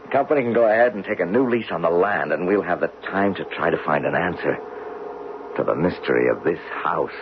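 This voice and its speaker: A man acting in a 1970s radio drama as a driven, learned geologist, speaking intensely and deliberately with theatrical pauses. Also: low voice, close to an everyday voice. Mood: excited, vindicated. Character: driven, learned geologist obsessed with solving a buried mystery. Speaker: actor in a radio play. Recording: dull, noisy 1970s radio recording.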